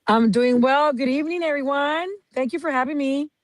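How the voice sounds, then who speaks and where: A woman in her fifties from San Antonio, Texas, a county clerk giving steady, detailed answers as a guest. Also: high voice, brisk, no room sound. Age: 50s. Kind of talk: interview answers.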